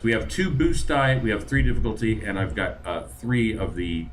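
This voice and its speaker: A man's voice: lowish voice, expressive, normal speed, a little hiss or hum. A man playing in a tabletop game, easygoing and hesitant, with plenty of fillers. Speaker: player. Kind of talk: casual tabletop game chat.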